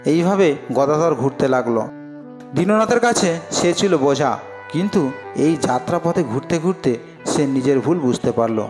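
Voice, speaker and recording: A male storyteller reads a scripted fairy tale in a dramatic way. His voice is rather high for a man and moves widely in pitch, with clear music behind it. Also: animated, normal speed, darkish tone.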